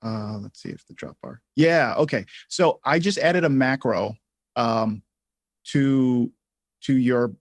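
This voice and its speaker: A man with a mid-pitched voice co-hosts a live software tutorial. He talks casually and haltingly, thinking aloud as he explains. The sound is close and dry, with very little room in it. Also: no echo. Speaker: livestream co-host and software instructor.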